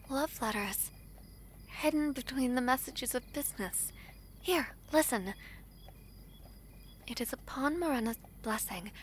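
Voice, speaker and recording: A woman playing a gentle, courteous young foreign princess in a fantasy audio drama, with formal, refined, earnest speech. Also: on the high side, a little hiss or hum. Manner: formal, refined, softly earnest storybook diction. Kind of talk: scripted fantasy audio roleplay.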